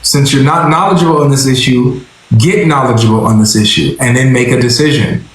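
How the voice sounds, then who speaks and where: A man's voice: mid-range voice, brisk, a little hiss or hum. Man with a very echoey voice. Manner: calm, persuasive, repeats words while thinking. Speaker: host of a religious debate stream. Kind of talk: casual live debate chat. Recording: very echoey room.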